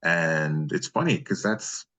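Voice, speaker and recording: A man's voice: sits low, expressive, takes it slowly, pushed and bright. A man talking casually as the guest in an interview, a voice actor by trade. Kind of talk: casual chat.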